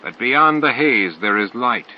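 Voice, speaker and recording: A man's voice: medium pitch, expressive, measured pace, natural voice. A man voicing a fervent religious believer in an audiobook, solemn and preacherly. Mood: patient. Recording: dull lo-fi audio, clear noise.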